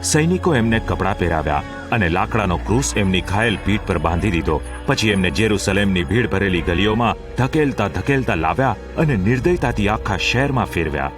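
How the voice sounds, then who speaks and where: A man's voice: fairly low pitch, animated, brisk, few pauses. A man narrating a scripted, dramatic Bible story as the narrator of an audio drama. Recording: music behind the narration.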